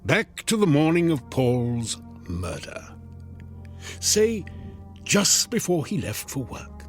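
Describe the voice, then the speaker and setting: A man's voice: a little high, huge pitch swings, slow pace, soft background music, full character voice. An actor playing a sly, devilish door-to-door salesman in a radio drama, with glib, coaxing, theatrical sales patter. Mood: coaxing, deal-making. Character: sly devilish door-to-door salesman peddling a time-bending clock. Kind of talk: modern radio drama acting.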